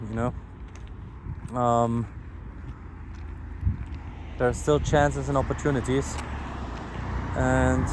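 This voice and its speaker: A man's voice: medium pitch, darkish tone. A sleep-deprived man with a German accent rambles frankly and casually into his phone while walking outdoors, swearing and putting himself down. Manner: frank, swearing, self-deprecating. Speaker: vlogger. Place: outdoors, walking along a street. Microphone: phone recording while walking. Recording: outdoor street and traffic noise. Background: ambient outdoor noise with passing vehicles.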